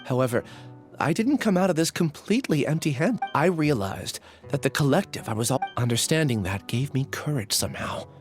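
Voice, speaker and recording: An actress playing a gentle, wistful test subject in an anime game, reflective and resigned. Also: female voice, rumbling low, lively intonation, brisk, music in the background. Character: soft-spoken, wistful, gentle test subject finding courage.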